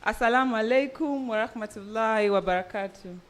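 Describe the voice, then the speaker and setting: Panel moderator: woman, Ugandan accent, higher-pitched voice, formal live introductions at a conference. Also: fairly quick. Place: event venue, speaking live to an audience.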